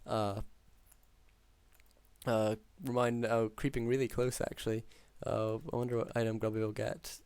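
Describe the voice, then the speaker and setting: A man with a hoarse but smooth voice calls a strategy match on his own. He drifts through the plays with ums and quick reads, pitch barely moving. The sound is dry and close, with no room around him. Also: dry recording. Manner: steady, filler-heavy analytical play-by-play. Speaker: game caster. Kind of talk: solo esports play-by-play commentary.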